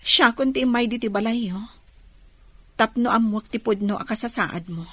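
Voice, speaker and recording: Young radio-drama heroine, acted by a woman: high voice, Ilocano accent, anxious, soft-hearted. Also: moderate pace, no room sound, close to an everyday voice. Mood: hurt.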